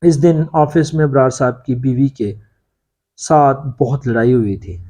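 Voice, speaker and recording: A man's voice: medium pitch, unhurried, dark tone, pitched below the performer's own voice, close to an everyday voice. A man voicing a long-serving factory worker in a story, an earnest, secretive informant who warns deferentially. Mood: grave confiding. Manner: confiding, cautioning, deferential.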